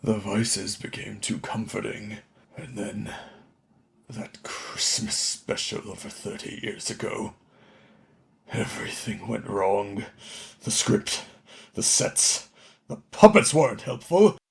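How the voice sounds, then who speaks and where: A man playing a washed-up older children's TV entertainer in a radio play, contrite and theatrical, with a creaky voice. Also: lowish voice. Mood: weary, confessional. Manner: dramatic, halting pleading and grand showman delivery.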